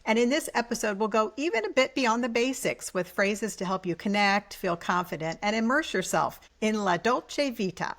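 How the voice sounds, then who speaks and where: Female interviewer and presenter, medium pitch, introducing and questioning guests. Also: expressive, moderate pace, few pauses, fairly bright tone. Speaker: host, interviewer.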